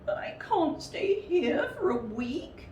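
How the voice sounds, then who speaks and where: A woman voicing a plaintive, gloomy little male bear in a storybook, in a slow, fretful, exclamatory way. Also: sits high, very animated, a little mellow, voice pushed up, heavily acted. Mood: anxious. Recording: roomy, distant mic with faint noise.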